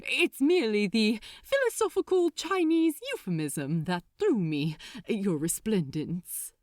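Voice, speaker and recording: A woman's voice: mid-range voice, lively intonation, unhurried, darkish tone, dry recording, studio quality, natural voice. A woman voicing an anxious, polite male angel with a prim, flustered, old-fashioned manner. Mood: flustered, deferential.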